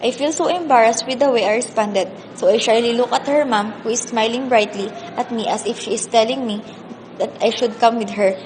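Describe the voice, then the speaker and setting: A woman's voice: a little high, fairly quick, a little mellow, natural voice. A woman playing a sad, earnest orphaned teenage girl who narrates a radio drama in the first person. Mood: sad reflective. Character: sad, weary orphaned teenage girl telling her story. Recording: long hall reverb, faint noise.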